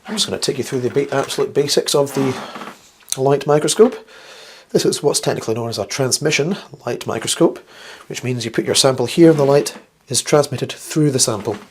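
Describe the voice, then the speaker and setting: Guy with a bit of a croaky flu voice explaining science stuff, chatty n dry, medium pitch, some noise behind him. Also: lively intonation, fairly quick. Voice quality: slightly croaky. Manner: chatty, wryly self-deprecating, rambling asides. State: getting over the flu. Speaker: host of a science video series. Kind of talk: casual instructional vlog to camera.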